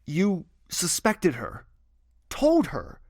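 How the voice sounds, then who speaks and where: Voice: mid-range voice, wildly swooping pitch, drawn-out words, dry recording, clean studio sound, full character voice. An actor playing a stern royal ruler in a fantasy audio drama, commanding and curt, expecting obedience. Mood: incredulous, accusing. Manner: authoritative, curt, imperious.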